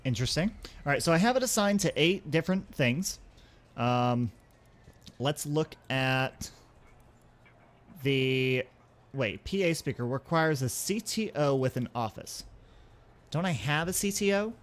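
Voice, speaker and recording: A man's voice: on the high side, expressive, a little slow, pauses often. A man gives casual let's-play commentary as a gaming host, thinking aloud and talking through each step.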